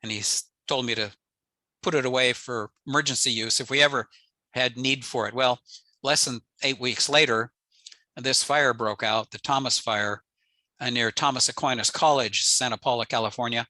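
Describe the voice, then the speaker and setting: A man's voice: mid-range voice, steady tone, normal speed, sharp tone, dry recording. An older American man giving an informal slide presentation in a matter-of-fact, explanatory way. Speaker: presenter, amateur radio operator. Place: indoors on a video call.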